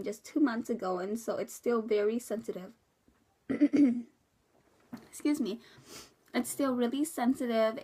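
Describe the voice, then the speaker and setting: A woman's voice: on the high side, creaky. A young woman from the US Midwest, congested from a cold, giving a rambling casual update to camera with many fillers. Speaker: vlogger.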